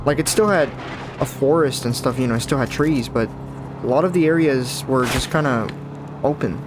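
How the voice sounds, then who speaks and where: A man with a deeper, just-woke-up morning voice, chatting casually and rambling over his gameplay as a gaming video host. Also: moderate pace, over music. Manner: chatty, rambling, self-correcting. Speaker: gaming YouTuber. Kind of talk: casual let's-play commentary. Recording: voice over loud game audio.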